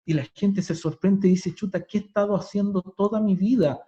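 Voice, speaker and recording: A man's voice: high-pitched, fairly quick, dark tone. A Chilean man explaining farming practices in plain, conversational terms during a webinar. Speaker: agronomy speaker. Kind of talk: webinar talk. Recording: roomy, muffled online call.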